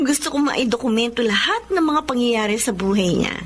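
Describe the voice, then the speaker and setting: Lively, high-voiced, affectionate pregnant wife. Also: female voice, normal speed, a little hiss or hum. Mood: tender, hopeful. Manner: affectionate, teasing. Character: cheerful, devoted pregnant wife and older sister. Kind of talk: Filipino radio drama acting.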